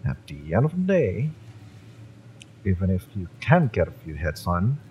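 A man's voice: low voice, wildly swooping pitch, measured pace, darkish tone, slight background noise, exaggerated voice. A man playing a fiery, bitter young mercenary in an audio roleplay, sardonic and taunting, with sighs and swearing. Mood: scornful. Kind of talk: romance audio roleplay acting, addressed to the listener.

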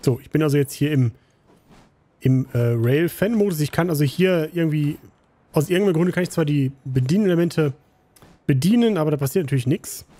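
A slightly hoarse, tired man casually commenting on a game he plays, rambling with many fillers. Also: fairly high pitch, fairly quick, dark tone. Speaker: gaming channel host.

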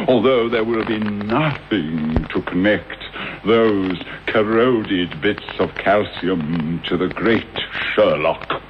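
An actor with a British accent playing a mad, vengeful, gloating villain in a theatrical, wordy radio drama style. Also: male voice, sits low. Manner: theatrical, sardonic, elaborately wordy. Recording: dull, noisy old radio broadcast recording.

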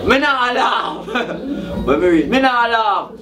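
A man with a Jamaican accent, joking and mocking in a loud, animated rant among friends in a small room. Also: very high-pitched, recorded from a distance. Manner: mocking, animated, drawn-out words. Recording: echoey room, off-mic, loud music. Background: loud music.

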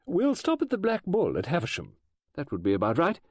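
A man voicing a brisk, chatty middle-aged woman novelist who rambles with strong emphasis. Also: wildly swooping pitch, darkish tone, no room sound, clean studio sound, exaggerated voice. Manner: rambling, emphatic, high-pitched.

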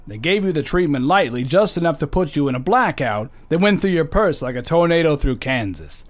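An actor plays a gruff but friendly police lieutenant in a radio drama, full of brisk, slangy tough-guy wisecracks. His voice sits somewhat high and swings lively up and down, and the delivery is fast and punchy. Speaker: police officer. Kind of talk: 2009 re-creation of 1950 radio drama. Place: recorded live, San Francisco.

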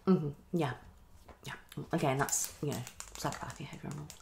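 A woman's voice: low voice, steady tone, gravelly, darkish tone, slight background noise, pitched below the performer's own voice. A young woman playing a hasty, tactless female psychologist in an ASMR roleplay, half whispering in a condescending, hesitant way. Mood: brisk, tactless. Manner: fast switching, hesitant repetitions, condescending. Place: small living room, indoors.

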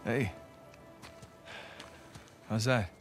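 An American man voicing a weary, sensible adventurer in a video game cutscene, with a dry, casual, halting delivery. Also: lowish voice. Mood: casual, tired. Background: music.

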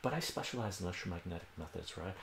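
A man's voice: sits low, lively intonation, racing, vocal fry, fairly bright tone, echoey room, slight background noise. An older man whose first language is Armenian, hosting a casual livestream from a home room, rambling and correcting himself as he answers viewers' questions. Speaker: livestream host.

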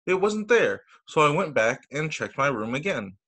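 Expressive male teacher reading a children's story. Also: fairly high pitch, moderate pace. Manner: expressive, sing-song storytelling for young children. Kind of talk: children's story read-aloud. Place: indoors, on a video call. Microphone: video call microphone.

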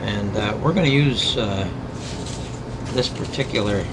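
A man giving an informal hands-on craft demonstration, explaining step by step in a halting, unscripted way. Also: fairly low pitch. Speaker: craft instructor demonstrating scroll saw work. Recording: loud ambient hum and workshop noise.

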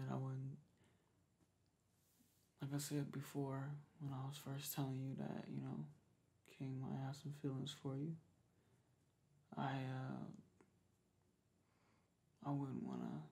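A young man speaks in a soft, intimate ASMR roleplay style, as if in pillow talk. His voice sits at a medium pitch with plenty of vocal fry and a husky, breathy edge. He talks casually and haltingly, easygoing and unhurried in manner. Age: young adult. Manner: hesitant, halting, laid-back pillow talk. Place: small bedroom, lying on a bed.

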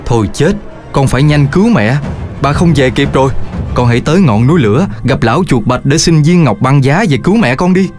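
A woman's voice: extremely low pitch, lively intonation, brisk, a little mellow. A voice actor playing grown-up figures in a cartoon, a teacher and a father, in a lecturing, kindly firm manner. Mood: urgent. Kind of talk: cartoon dubbing. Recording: cartoon with music underneath.

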